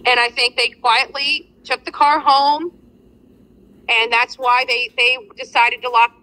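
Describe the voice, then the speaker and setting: Woman, high pitch, piercingly bright tone, halting, casual livestream discussion, replayed video call. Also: level tone, poor audio. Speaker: livestream panelist. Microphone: video call replayed from a phone.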